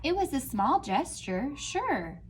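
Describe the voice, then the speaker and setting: Slow, lively woman reading a storybook. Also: sits high. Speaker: teacher reading aloud. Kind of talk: read-aloud storytime with commentary.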